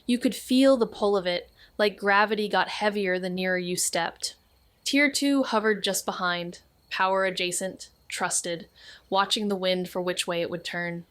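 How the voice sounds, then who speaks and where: An actress playing a perceptive young amateur sleuth in a noir audio drama, terse and deadpan. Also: female voice, mid-range voice, unhurried, no echo, natural voice. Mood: measured, brooding.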